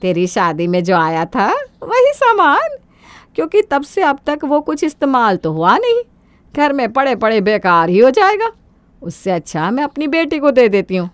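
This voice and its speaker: A woman acts out an older mother-in-law from a cartoon moral tale. She is greedy, scheming and brazen, scolding in a high, very animated voice. The sound is dry and close. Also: normal speed, no echo. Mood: smug, scheming. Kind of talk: Hindi cartoon moral story acting.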